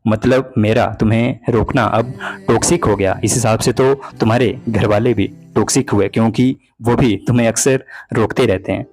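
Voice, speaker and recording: A man's voice: on the low side, steady tone, moderate pace, darkish tone, lightly acted. A man acting as a hurt young boyfriend over music. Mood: bitter. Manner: reproachful, pleading. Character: hurt, jealous young boyfriend accusing his girlfriend. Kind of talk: dramatized breakup phone conversation in Hindi. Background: background music.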